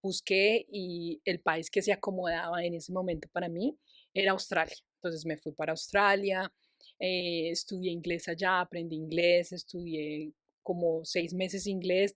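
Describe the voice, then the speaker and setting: A woman's voice: lowish voice, no echo, studio quality. A young adult Colombian woman hosting a casual podcast interview, encouraging her guest and often restarting her phrases. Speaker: podcast host.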